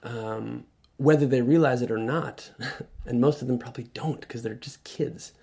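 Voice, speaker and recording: Man, radio studio guest, earnest, halting, restarts often. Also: medium pitch, vocal fry. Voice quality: loud voice. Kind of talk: reflective conversational talk.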